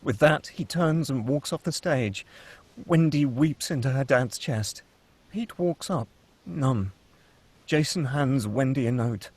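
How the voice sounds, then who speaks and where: A man's voice: medium pitch, takes it slowly, husky, no echo. A man narrating the stage directions at a script table read. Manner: steady, matter-of-fact reading.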